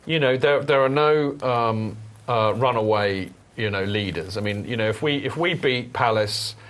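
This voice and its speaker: A man hosting a casual football podcast, animated and rambling, with many restarts. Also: mid-range voice, moderate pace. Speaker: podcast host.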